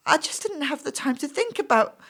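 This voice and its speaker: An actress playing an anxious, defensive job applicant in her thirties in a stage play, hesitant, apologetic and quick to justify herself. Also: high voice, animated, fairly bright tone. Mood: pleading.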